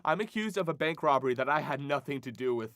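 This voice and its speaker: A man's voice: fairly high pitch, lively intonation, fast, a bit bright, no echo. An actor playing an anxious accused man in a radio drama, urgent, pleading and stammering.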